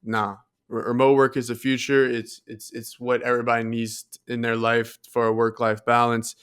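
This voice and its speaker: A man's voice: mid-range voice, completely flat delivery, normal speed, fairly bright tone, clear, resonant tone. An American man co-hosting a podcast, explaining his views on tech in a casual, earnest way. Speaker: podcast co-host.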